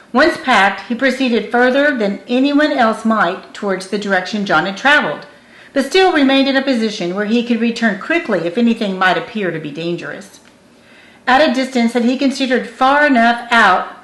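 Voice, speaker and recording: A woman's voice: on the high side, fairly bright tone. A woman reading a scripted story aloud as an audiobook narrator. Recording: roomy, echoing room.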